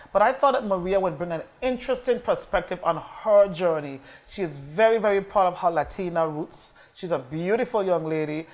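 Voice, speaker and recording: Low-pitched woman, talk show host. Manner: encouraging, affirming. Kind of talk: conversational on-air interviewing.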